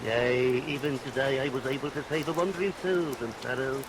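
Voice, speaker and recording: Radio drama parson, played by a man: mid-pitched, sanctimonious, florid archaic delivery, rain-washed old recording. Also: fairly quick, natural voice. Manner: pompous, archaic preacherly phrasing. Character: sanctimonious amorous male parson, unctuous and pious. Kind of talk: vintage radio play acting. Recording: vintage radio recording under steady rain noise.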